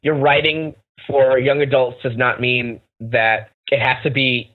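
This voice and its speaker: A man's voice: medium pitch, little pitch movement, unhurried. A man in casual, emphatic discussion as a book club podcast panelist. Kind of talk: casual chat, earnest discussion. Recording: thin, phone-like call audio.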